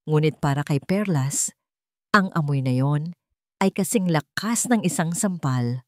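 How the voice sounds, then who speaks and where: A woman narrating a scripted romance drama with deliberate, dramatic phrasing. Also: low voice, lively intonation, no room sound, clean studio sound. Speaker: story narrator.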